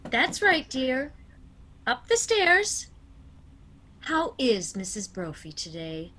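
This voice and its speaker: A woman's voice: high voice, wildly swooping pitch, takes it slowly, bright, forceful tone, slight background noise, full character voice. A woman acting in a radio play as a sweet, fussy old aunt, doting and genteel. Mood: doting, chatty.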